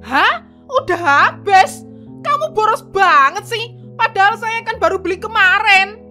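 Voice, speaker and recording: A penny-pinching wife who runs a soup stall, nagging her workers in a very high, piercing voice. She scolds and threatens with no patience at all. Cartoon drama with music underneath. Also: expressive, a little slow, bright, forceful tone, full character voice. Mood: scolding. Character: stingy bossy wife, food stall owner, middle-aged. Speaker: voice actor.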